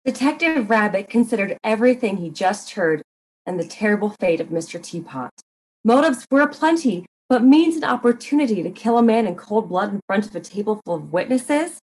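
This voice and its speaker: Woman, medium pitch, dramatic storytelling, scripted narration, show host. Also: expressive, a little fast, darkish tone, husky, low-quality recording.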